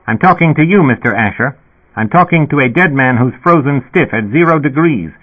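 A man's voice: mid-range voice, animated, a little fast, breathy edge, pitched above the performer's own voice. A man voicing a fussy male police dispatcher who sputters over an intercom. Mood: exasperated. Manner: sputtering, exasperated. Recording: tinny phone-like sound.

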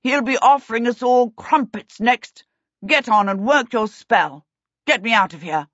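A woman's voice: fairly high pitch, measured pace, fairly bright tone, no room sound, muffled recording, voice pushed up. A woman voicing a haughty, menacing prince in a storybook, imperious and theatrical. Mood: disgusted. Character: haughty, menacing villain prince, male, dramatic and disgusted.

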